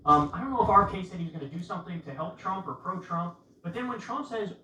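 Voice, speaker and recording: A man's voice: sits high, animated, quick pace, bright, forceful tone. A man giving casual political commentary to viewers, insistently repeating rhetorical questions. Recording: roomy, distant sound with faint noise.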